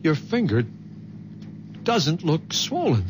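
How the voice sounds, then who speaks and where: A man's voice: fairly high pitch, huge pitch swings, takes it slowly, full character voice. An actor playing an exasperated, anxious man pleading with his girlfriend in a 1970s radio drama, insistent and earnest. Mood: tense, insistent. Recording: noisy old radio broadcast.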